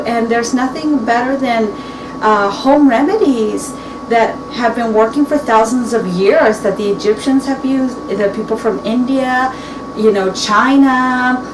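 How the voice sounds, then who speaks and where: A woman of about forty-two talks casually and chattily, often circling back and restarting her sentences. She is recorded by a camera mic set some way off in a kitchen that sounds echoey. A steady household hum runs clearly underneath. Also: fairly high pitch, unhurried. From Mexican heritage. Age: 40s. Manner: chatty, rambling with frequent restarts and fillers. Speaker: beauty vlogger. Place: home kitchen, indoors. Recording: echoey room, distant mic, clear background noise.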